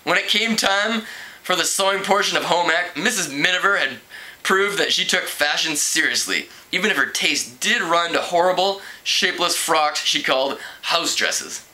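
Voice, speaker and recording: A man reads a story aloud as an amateur narrator. His voice is strikingly bright and forceful and sits a little higher than most men's. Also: moderate pace, rough recording. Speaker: amateur book reader.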